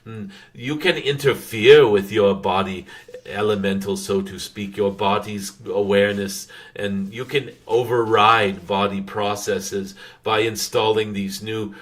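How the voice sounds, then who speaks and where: A man channeling a wise, gentle dragon spirit, giving spiritual guidance in a halting, formal way with frequent humming pauses. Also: on the low side, fairly even intonation, lightly acted. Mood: calm, earnest. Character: wise, gentle dragon spirit addressing followers as dear ones.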